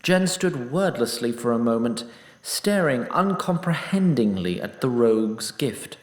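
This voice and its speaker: A roomy echo surrounds this male narrator of a fantasy tale. He reads formal, scripted prose with a wry touch in a medium-pitched voice. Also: lively intonation, unhurried.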